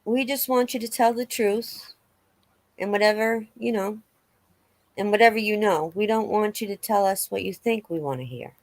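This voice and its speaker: A woman reading the part of a calm, reassuring law officer in a dramatic reading of a witness interview. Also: mid-range voice, moderate pace, no echo, close to an everyday voice. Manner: matter-of-fact, reassuring.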